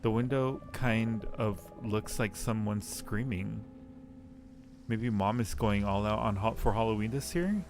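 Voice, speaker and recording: A man's voice: on the low side, a little slow, background noise. A man live streaming a game, reading its text aloud and chatting casually with viewers as he muses. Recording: music playing behind the voice. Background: music.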